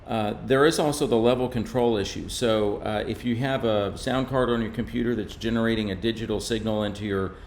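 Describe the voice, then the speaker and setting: Man, lowish pitch, technical presenter, measured informal explaining, faint background noise. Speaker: presenter from a radio maker.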